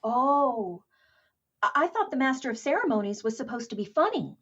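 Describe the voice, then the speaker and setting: A woman's voice: high-pitched, sing-song, moderate pace, fairly bright tone, husky, full character voice. A woman playing an eager, chatty fifteen-year-old girl star in 1930s radio comedy, gushing and wide-eyed, in slightly dull audio. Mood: innocently cheeky.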